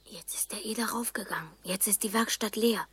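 A performer playing a bold, inquisitive young boy in a children's radio play, eager and insistent, with a husky voice. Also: medium pitch, a little fast, very noisy, close to an everyday voice. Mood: hushed, daring.

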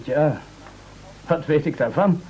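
An actor in a radio play playing an obedient, sentimental, rather dim sidekick, meek and earnest. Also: male voice, a little high, slow, a little mellow, slight background noise. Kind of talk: absurdist radio play acting. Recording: muffled old radio play recording.

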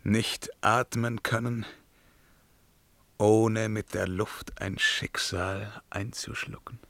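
Tormented emperor, poetic radio drama: forceful, slow, declamatory, haunted and intense. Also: male voice, lowish voice, little pitch movement. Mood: appalled, indignant. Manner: theatrical, intense, swinging between whispers and outbursts.

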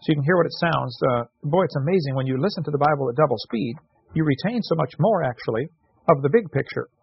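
A man's voice: a little high, animated, fast, gravelly, husky. A man hosting a radio show, chatting casually and reading listener letters, rambling with fillers and restarts. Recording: dull lo-fi radio audio.

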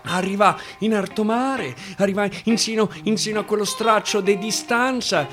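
A man with a Roman accent reciting a dialect monologue theatrically, swinging between hushed murmurs and loud declaiming. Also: high voice, normal speed. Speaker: actor reciting a dialect narrative poem. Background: acoustic guitar music.

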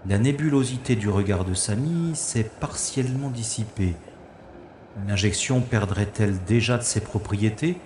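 A man playing a sardonic special agent in a science-fiction audio drama, with a mocking, theatrical and deliberate delivery. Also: lowish voice, a little mellow, a little hiss or hum, close to an everyday voice. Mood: musing, scornful. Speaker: lead actor and narrator of audio drama. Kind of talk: science-fiction audio drama acting with inner-monologue narration.

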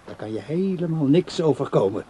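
A tender but stubborn ageing man with a warm, dark voice. He is easily fed up, in the manner of a radio play character. The recording carries faint background noise. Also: fairly high pitch, lively intonation, brisk. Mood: tender, reassuring. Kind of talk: Dutch radio play acting.

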